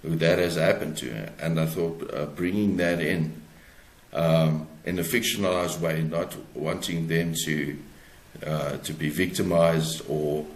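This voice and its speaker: South african guy being interviewed, really low voice, keeps groping for words, echoey room. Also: fairly even intonation. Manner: halting, searching for words. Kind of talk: conversational interview, explaining own book. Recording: roomy, echoey sound.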